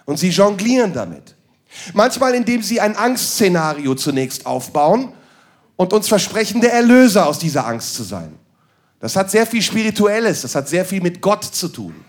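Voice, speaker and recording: A man's voice: high voice, big pitch swings, moderate pace. A man doing a crude, sardonic stand-up monologue that moves into ranting. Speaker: stand-up comedian.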